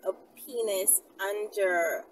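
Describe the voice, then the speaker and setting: A woman in her thirties talks casually to her viewers from inside a car. She rambles and thinks out loud, often putting questions to them. Her medium-pitched voice sits a little away from the mic, with light ambient noise around it. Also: fairly even intonation, slow pace. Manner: musing aloud, rambling, rhetorical questions. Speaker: vlogger, channel host. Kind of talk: casual unscripted vlog chat to viewers. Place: inside a car by a street, daytime. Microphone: camera at arm's length. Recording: distant mic, noisy car interior.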